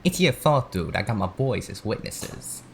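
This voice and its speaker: A man's voice: on the low side, very animated, moderate pace, gravelly, a bit bright, exaggerated voice. A man playing a combative, slangy street gang leader in a comedic audio drama. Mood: belligerent.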